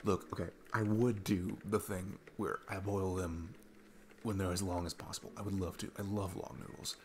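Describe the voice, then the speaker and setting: A man's voice: low voice, flat delivery, moderate pace, a little mellow, slight background noise. A man playing a sweet, nervous single dad in an intimate ASMR roleplay, rambling and hesitant in a casual, self-deprecating way. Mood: playful rambling.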